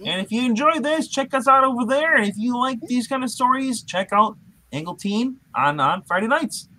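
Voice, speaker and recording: Male co-host, high bright voice, casual livestream chat, lively intonation. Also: moderate pace, no echo.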